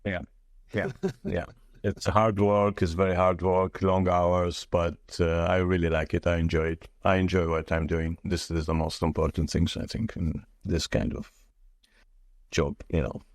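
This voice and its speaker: A man from the Middle East, chatting casually as a guest in halting English. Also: deep voice, flat delivery, no echo, clean studio sound. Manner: halting non-native English with frequent "you know". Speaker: interview guest. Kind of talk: casual chat. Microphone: mobile device.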